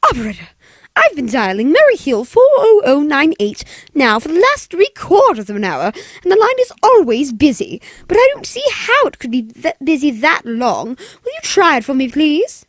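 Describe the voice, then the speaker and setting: A woman's voice: high voice, wildly swooping pitch, normal speed, no room sound. A woman playing an anxious, demanding, frail invalid wife in a 1940s-style radio play, fretful and given to breathless exclamations. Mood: fretful, nervous.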